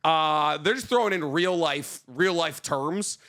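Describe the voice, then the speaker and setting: A man with a stuffy nose co-hosting a podcast, chatting casually about anime, animated and laughing, swearing often. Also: on the high side, measured pace. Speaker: podcast co-host.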